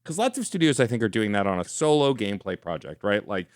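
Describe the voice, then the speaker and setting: A man's voice: lowish voice, big pitch swings, fast talker, no room sound, studio quality. A man co-hosting a gaming podcast, enthusiastic and rambling, full of "like"s and laughs. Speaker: podcast co-host. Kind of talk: casual podcast chat.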